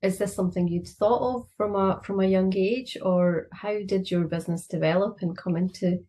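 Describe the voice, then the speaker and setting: Woman host, mid-pitched, warm-toned prompts on a call interview. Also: steady tone, normal speed. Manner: encouraging, short affirmations. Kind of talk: casual interview.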